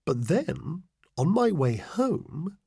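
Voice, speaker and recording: A scheming, self-important political adviser in a satirical audiobook diary. The delivery is slow and deliberate, with very animated pitch swings under a deadpan, exasperated sarcasm. The voice is a little husky and closely, drily recorded. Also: male voice, no echo, studio quality, full character voice. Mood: sardonic. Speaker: audiobook reader. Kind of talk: satirical first-person diary audiobook narration.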